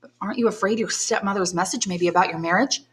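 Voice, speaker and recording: A woman's voice: medium pitch, fast talker, natural voice. A woman reading aloud and voicing a devoted young man, earnest and reassuring, close to her own voice. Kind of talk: audiobook character voice.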